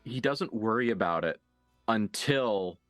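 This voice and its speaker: A man improvising narration as a game master for a horror tabletop game, conversational and halting, with graphic detail. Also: fairly low pitch, expressive, fairly bright tone, no room sound, faint music behind.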